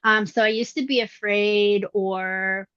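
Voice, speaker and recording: A middle-aged woman whose voice still sounds youthful answers interview questions in a relaxed, reflective way, often pausing on fillers. The recording is dry and close, with a slightly dull, lo-fi tone. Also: medium pitch, clean tone, dry recording. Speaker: voice actress, interview guest. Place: indoors at her mother's home.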